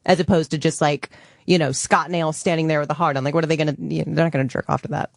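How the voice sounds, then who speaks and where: A woman with a soft voice, talking frankly and casually as a show guest. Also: sits low, steady tone, rapid-fire, creaky, dry recording, clean studio sound. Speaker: guest adult performer. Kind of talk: casual chat.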